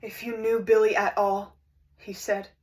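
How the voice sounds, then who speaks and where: A woman's voice: mid-range voice, level tone, a little slow, a little mellow, recorded from a distance, close to an everyday voice. A woman performing an anguished, grieving friend in a dramatic stage monologue, telling it with emphatic, accusing weight. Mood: wounded, recounting. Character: anguished friend grieving a self-destructive alcoholic loved one. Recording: echoey room.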